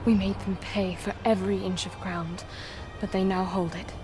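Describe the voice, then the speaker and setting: An actress playing a proud, battle-hardened young soldier in a fantasy game, firm and clipped, with loud music behind her. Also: mid-range voice, little pitch movement, moderate pace, close to an everyday voice. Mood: defiant resolve. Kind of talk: dramatic fantasy game acting.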